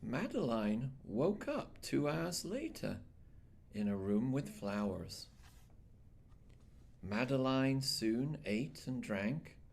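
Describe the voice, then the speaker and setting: Slow, husky man reading a bedtime story. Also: mid-range voice, big pitch swings. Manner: slow, measured, dramatic phrasing. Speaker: storyteller reading a children's picture book. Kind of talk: expressive bedtime read-aloud narration.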